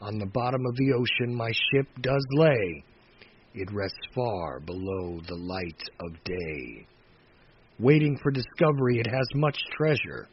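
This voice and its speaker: A man reciting poetry dramatically, in a solemn, measured manner that moves between hushed phrase endings and emphatic phrases. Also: mid-range voice, animated. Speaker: poetry reader. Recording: dull lo-fi recording with faint noise.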